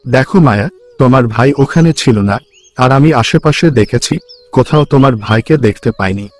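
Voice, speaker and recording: A man's voice: medium pitch, moderate pace, natural voice. A man voicing a matter-of-fact adult son and husband in a cartoon story.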